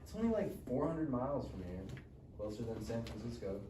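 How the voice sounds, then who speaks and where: Cocky mellow-voiced teenage boy, acted by a man. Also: mid-range voice, very animated, a little fast, natural voice. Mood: pleading, earnest. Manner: slangy, taunting. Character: cocky, foul-mouthed broke teenage boy, needling his buddy. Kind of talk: live table read of comedy screenplay. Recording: roomy, distant mic, faint noise.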